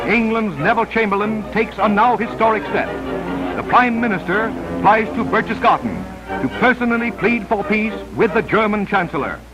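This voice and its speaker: High-pitched dramatic 1930s newsreel man. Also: normal speed, poor audio. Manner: dramatic, solemn declamation. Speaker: newsreel narrator. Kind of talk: 1930s newsreel narration, scripted. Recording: vintage 1930s newsreel soundtrack. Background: newsreel music.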